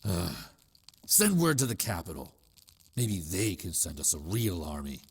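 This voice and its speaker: Male actor as weary officer, husky, lowish pitch, indignant, game fan dub. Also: big pitch swings, measured pace, heavily acted. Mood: concerned, indignant.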